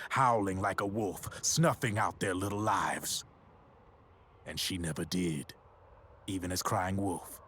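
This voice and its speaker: An actor playing a grave older man who solemnly and deliberately recounts a war tragedy in a video game cutscene. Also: deep voice, a bit bright, slight background noise.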